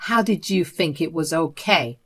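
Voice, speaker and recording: A woman's voice: low-pitched, animated, unhurried, slightly rough, heavily acted. A woman voicing a firm, protective grown son who scolds sternly in a read-aloud story. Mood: stern angry.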